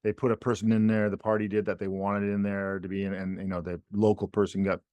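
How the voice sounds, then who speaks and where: A man's voice: fairly low pitch, little pitch movement, fast, no echo, lo-fi sound. A man co-hosting a casual political chat podcast, rambling with many restarts and repeated words. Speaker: podcast co-host.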